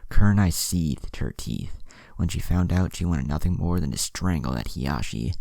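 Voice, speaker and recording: A man's voice: rumbling low, expressive, normal speed, vocal fry, mellow, no room sound, studio quality. A man narrating a fan fiction story, reading it aloud with background music behind him.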